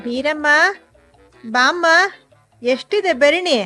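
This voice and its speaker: A woman's voice: high voice, takes it slowly, a bit bright, faint music behind. A woman playing a chatty fifties housewife. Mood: polite. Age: 50s. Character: middle-aged housewife, proper and chatty. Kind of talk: radio drama acting.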